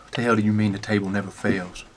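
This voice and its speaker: A man's voice: on the low side, level tone, fast talker, background noise, lightly acted. A man playing a defiant, foul-mouthed drug dealer with a short fuse in a screen drama, blunt and streetwise. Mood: agitated, angry. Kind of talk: naturalistic screen drama acting.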